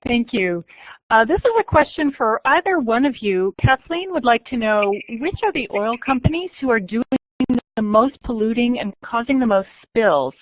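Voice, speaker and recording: A woman moderating an online discussion, reading questions in a brisk, polite way. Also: fairly high pitch, expressive, no room sound. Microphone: online call audio. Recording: dry, tinny phone-like line.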